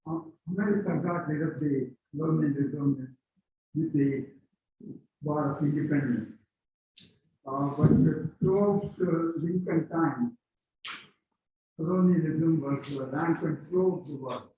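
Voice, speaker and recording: A man in the audience hesitantly asking a question with many pauses. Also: on the high side, slight background noise. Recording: distant, echoey, tinny audience pickup.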